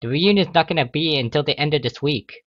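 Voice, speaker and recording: A man playing a doting, calm unicorn mother who coos and reassures her daughter in a story reading. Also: fairly high pitch, a little fast, no room sound, dull audio, pitched above the performer's own voice, natural voice. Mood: reassuring. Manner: cooing, reassuring.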